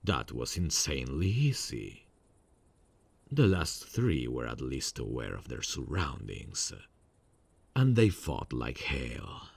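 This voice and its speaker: A man voicing a soft-spoken male serial killer in an ASMR audio drama, calm and quietly menacing, often close to a whisper. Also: slow pace, dry recording, exaggerated voice. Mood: cold amused. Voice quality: gravelly, husky low voice. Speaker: voice actor.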